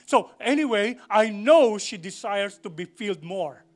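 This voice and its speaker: A man's voice: sits high, animated, a little slow. A man preaching a sermon to a congregation, repeating phrases for emphasis and asking the church questions. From Philippines. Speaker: pastor.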